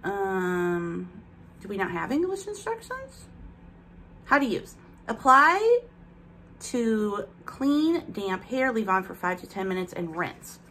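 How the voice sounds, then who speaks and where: A woman's voice: mid-range voice, big pitch swings, slow pace, sharp tone, a little hiss or hum. A woman in her thirties chatting casually in a home vlog, rambling while she unboxes products, with a slight lisp from aligners. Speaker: vlogger. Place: bedroom at home, indoors.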